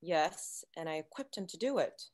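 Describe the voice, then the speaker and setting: A woman playing a composed, secretive android science officer, measured and matter-of-fact, in a sci-fi table read. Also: fairly low pitch, lively intonation, a little mellow. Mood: defensive, controlled.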